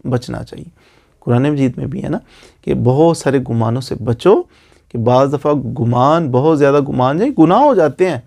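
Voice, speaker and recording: Man, Pakistani accent, gravelly creak, somewhat raised pitch, informal lecture, rhetorical questions, repeated key phrases. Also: a little mellow. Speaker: lawyer giving legal advice. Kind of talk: informal explanatory lecture with moral exhortation.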